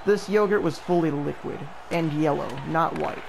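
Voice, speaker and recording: A young man calls a hockey video game alongside a friend, his voice sitting a bit high. He jokes between plays and breaks into sudden excited shouts. A clear hiss of noise runs under the recording. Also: normal speed, crowd noise. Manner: joking, bursts into excited calls. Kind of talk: casual play-by-play with a friend.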